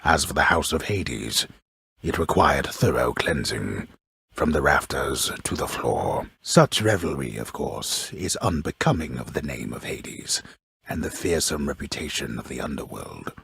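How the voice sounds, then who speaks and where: A grave, grandiose storyteller of the underworld for a video game. His voice is extremely low and heavily husky, with a gravelly edge. He narrates in measured, formal phrases with dry, wry mockery, recorded close with no room sound. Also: very animated, fairly bright tone, dry recording, heavily acted. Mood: solemn, stately. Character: grave, grandiose male storyteller of an underworld myth, drily mocking.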